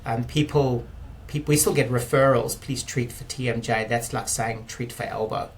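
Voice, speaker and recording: A South African man in his fifties, a physiotherapist guest in an interview, explaining in a measured way with frequent ums and restarts. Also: mid-range voice, steady tone, slight background noise. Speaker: guest, clinic director. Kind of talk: interview talk.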